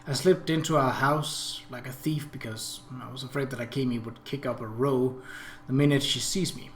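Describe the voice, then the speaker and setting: A man's voice: medium pitch, fairly bright tone. A man hosting a visual novel playthrough, giving narration and commentary in a room. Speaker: gaming video host. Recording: roomy echo, clear background noise.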